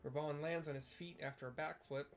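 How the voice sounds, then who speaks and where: A man's voice: fairly high pitch, fairly quick, faint music behind. A man narrating game cutscenes in a casual, plain-spoken way. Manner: flat, plain-spoken describing.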